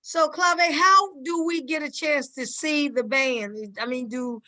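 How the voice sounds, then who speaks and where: Bright-voiced woman, slightly high-pitched. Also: slow pace. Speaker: stage play cast member, guest. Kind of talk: casual panel conversation.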